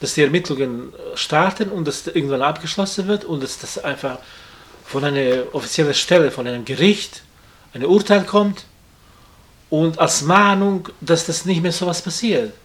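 A man with a somewhat high speaking voice gives a halting, conversational interview answer. He repeats small words and restarts sentences often. He grew up outside this country. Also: fairly bright tone. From outside Germany. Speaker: interviewee, acquaintance of the victim.

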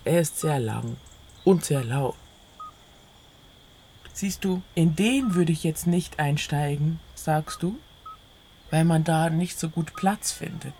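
Creaky-voiced narrator of an audio play. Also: medium pitch, slow pace, long pauses, warm tone, a little hiss or hum. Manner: quotes dialogue within the narration. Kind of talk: first-person present-tense literary narration.

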